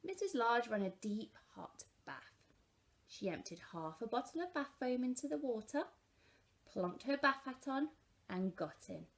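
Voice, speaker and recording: Animated woman reading a children's story. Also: a little high, unhurried.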